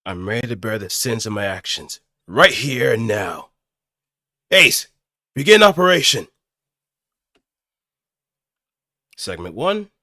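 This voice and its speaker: A man's voice: mid-range voice, big pitch swings, a little slow, pushed and bright, dry recording, clean studio sound. A man voicing a fierce, resolute anime mecha pilot, delivering melodramatic robot game battle lines in a declamatory way. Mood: battle fury. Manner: dramatic, declamatory.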